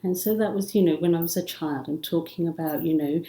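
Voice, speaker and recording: A woman's voice: sits low, fairly quick, mellow, echoey room, rough recording. A middle-aged woman, raised in India and England with English as a second language, speaking earnestly and reflectively as an interview guest. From born in Africa, raised in India and England. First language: not English. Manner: earnest, flowing, with frequent "you know". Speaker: podcast guest, psychologist.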